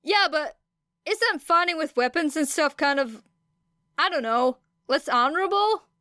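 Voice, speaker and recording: A woman voicing an earnest teenage boy student in an audiobook, speaking in a halting, questioning way. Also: high-pitched, lively intonation, pushed and bright, no room sound, studio quality, pitched above the performer's own voice, exaggerated voice. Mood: hesitant, questioning.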